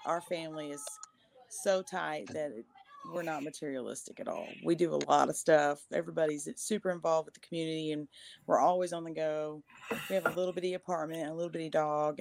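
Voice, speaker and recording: A woman singer in her thirties chatting on a video call, talkative and quick to laugh. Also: sits low, gravelly, no room sound. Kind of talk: casual video-call chat.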